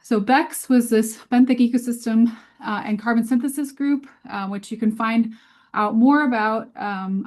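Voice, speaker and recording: A woman's voice: fairly high pitch, darkish tone. A woman presenting a scientific webinar over a video call in an informal, conversational way, often hesitant as she thinks aloud.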